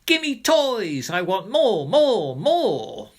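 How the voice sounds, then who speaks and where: A man's voice: high-pitched, huge pitch swings, very slow, voice pushed up, full character voice. A man voicing a greedy, bossy, demanding little girl in a storybook, screechy and insistent.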